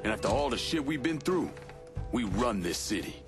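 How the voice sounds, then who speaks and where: An actor playing a hard, resolute crime boss in a game drama, terse and commanding. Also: male voice, medium pitch, sing-song, moderate pace, over music, natural voice. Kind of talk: dramatic video game acting.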